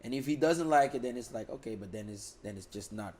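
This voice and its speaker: Relaxed young man, mellow voice. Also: mid-range voice, fast. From Netherlands. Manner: relaxed, repeats words and restarts, many fillers. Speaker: music artist guest. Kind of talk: casual podcast interview.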